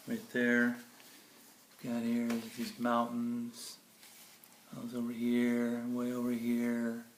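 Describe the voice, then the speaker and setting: A man reading a children's picture book aloud in a theatrical, playful way, with casual asides about the pictures. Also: medium pitch. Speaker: storybook reader. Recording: roomy echo, faint background noise.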